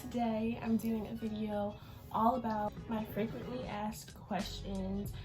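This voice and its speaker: A woman's voice: a little high, little pitch movement, measured pace, over loud music, poor audio. An eighteen-year-old woman vlogger with a nasal, congested voice from allergies, chatting casually through a Q&A. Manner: chatty, informal, lots of fillers. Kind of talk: casual Q&A chat to camera.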